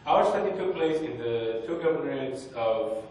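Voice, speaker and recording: A young man giving an academic presentation, speaking hesitantly with many pauses and restarts. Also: medium pitch, animated, darkish tone, a little hiss or hum. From Kurdistan, northern Iraq. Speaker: conference speaker. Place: large conference hall. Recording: echoey hall, distant mic.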